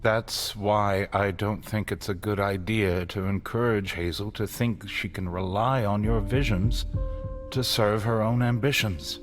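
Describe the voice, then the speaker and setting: A man's voice: fairly low pitch, steady tone, a little slow, natural voice. A man playing a grave, controlling vampire caretaker in a dramatic game cutscene, explaining things with solemn insistence. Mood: persuasive, firm. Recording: faint music behind the voice.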